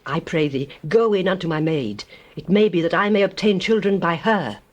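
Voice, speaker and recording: A man's voice: sits high, moderate pace, lightly acted. A man voicing an aggrieved, childless patriarch's wife, earnest and plaintive, in a dramatized scripture reading. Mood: entreating.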